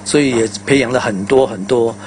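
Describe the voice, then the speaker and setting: A man's voice: medium pitch, brisk, a crowd in the background. An older man, a veteran radio drama performer, reminiscing in a casual interview with exclamations and repeated phrases.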